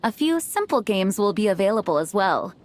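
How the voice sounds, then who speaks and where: An actress playing an earnest, modest young knight commander in an anime game, speaking formally and politely. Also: female voice, medium pitch, sing-song, fairly quick, a little mellow, slight background noise, lightly acted. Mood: composed, helpful. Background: background music.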